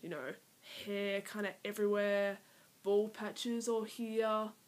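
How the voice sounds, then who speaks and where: Young woman, Australian accent, nineteen, nervous, rambling, hesitant, fillers, drops to near-whisper, iPad mic, mid pitch. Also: flat delivery, takes it slowly. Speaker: vlogger. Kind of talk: nervous personal vlog to camera. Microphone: iPad built-in mic.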